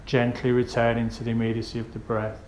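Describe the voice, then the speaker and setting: A man leads a guided meditation, giving gentle, unhurried instructions with pauses between phrases. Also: medium pitch, monotone. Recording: roomy echo with faint background noise.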